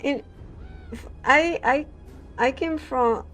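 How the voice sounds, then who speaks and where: A woman from Portugal, a gaming creator reading game text aloud and chatting in a halting way, full of fillers and restarts. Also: sits high, a bit bright, faint music behind.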